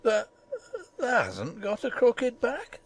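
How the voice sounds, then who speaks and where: A woman voicing an ignorant, tactless old male gardener in a storybook, hoarse and shaky, with halting Yorkshire dialect. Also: a little high, wildly swooping pitch, no echo, exaggerated voice. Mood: hoarse disbelief. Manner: halting, rustic dialect speech.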